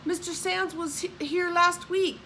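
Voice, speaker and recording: A woman's voice: extremely high pitch, steady tone, measured pace, slight background noise, pitched above the performer's own voice, heavily acted. A woman voicing a faithful, anxious servant woman in an audiobook, with a Southern US dialect and an urgent, pleading manner. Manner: urgent, pleading, hushed warning. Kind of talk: audiobook character voice.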